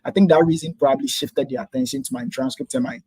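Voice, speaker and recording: Fast-talking Ghanaian man in his twenties. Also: mid-range voice, steady tone, mellow, slightly rough, no echo. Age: 20s. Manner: quick, eager, explaining step by step. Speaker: guest. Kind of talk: interview answers.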